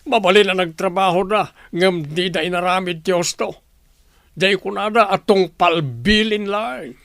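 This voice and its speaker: A man's voice: high-pitched, measured pace, gravelly, a bit bright, dry recording, studio quality, heavily acted. A man with a Filipino accent acting a stern, brusque senior boss and father in a radio drama. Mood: questioning, critical.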